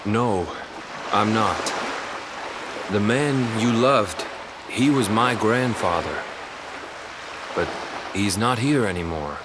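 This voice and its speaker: A man's voice: on the low side, slow, long pauses, background noise. An actor playing a young village fisherman in a video game, defensive and weary, with a blunt, guarded way of talking. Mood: wary, subdued. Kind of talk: video game cutscene acting.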